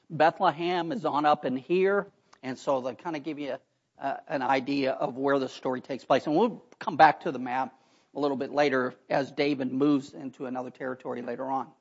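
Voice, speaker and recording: A man preaching a sermon in a church, hoarse from allergies and talking in a folksy way with chatty asides. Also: medium pitch. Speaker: pastor. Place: church sanctuary. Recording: dull lo-fi recording.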